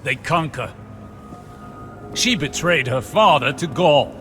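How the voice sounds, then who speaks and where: An actor playing a hard, distrustful veteran warrior, blunt, accusing and contemptuous. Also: male voice, a little high, expressive, takes it slowly, a bit bright, soft background music. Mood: distrustful, scornful.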